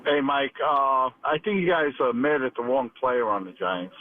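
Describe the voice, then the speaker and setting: A male caller speaks over a thin, narrow phone line with a mid-pitched voice. He talks casually, as a sports fan with a complaint. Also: normal speed. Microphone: telephone.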